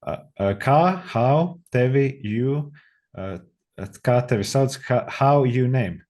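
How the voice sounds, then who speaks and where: A woman from Latvia, chatting hesitantly as a guest and laughing softly. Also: rumbling low, lively intonation, slow. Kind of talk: casual chat.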